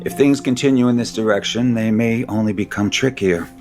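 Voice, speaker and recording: An actor playing a worldly, party-loving older board chairman in an audio soap opera, suave and confiding in a measured way. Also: lowish voice, a little mellow, lightly acted. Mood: grave, confiding. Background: music under the dialogue.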